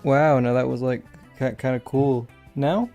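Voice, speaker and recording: A woman's voice: very deep voice, steady tone, measured pace, dark tone, lo-fi sound. A woman doing a comedic anime parody fan dub, crude and sweary, in casual slangy talk over music.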